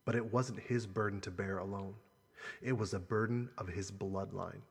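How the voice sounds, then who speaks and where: In long reverb, a man acts out a grave guardian uncle from an audiobook. His voice is low and husky, and he speaks with solemn, earnest authority, protective of those in his care. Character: grave, protective adult uncle, tender guardian revealing secrets. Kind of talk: audiobook character dialogue.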